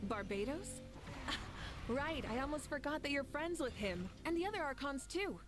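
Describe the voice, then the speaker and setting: A woman's voice: high-pitched, lively intonation, moderate pace, music in the background. A woman voicing a bold, fiery female leader who talks in a breezy, commanding way in game dialogue. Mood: amused.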